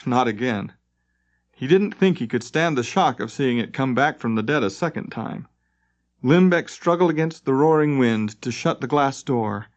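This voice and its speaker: A man's voice: medium pitch, expressive, normal speed. A man reading scripted fantasy narration dramatically, as an audiobook narrator. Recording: dull lo-fi tape transfer.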